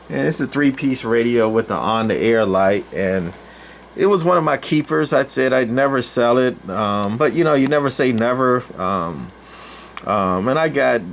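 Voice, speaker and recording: A man giving a casual, rambling, off-the-cuff demonstration as a hobbyist collector, in a halting informal way. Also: lowish voice. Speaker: channel host, vintage CB radio collector. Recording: clear background noise, room ambience.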